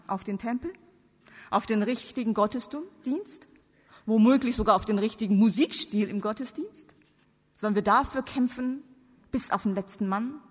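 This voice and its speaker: A woman who is a non-native German speaker preaches theology to an audience with emphatic rhetorical questions. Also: on the high side. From outside Germany. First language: not German. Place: large reverberant hall before seated audience. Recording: long reverb of a large hall.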